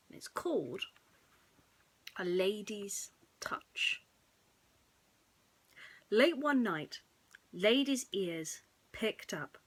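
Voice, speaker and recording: Female bedtime storyteller, unhurried and hushed, with a bright edge to the tone. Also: mid-range voice. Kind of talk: soothing bedtime story narration.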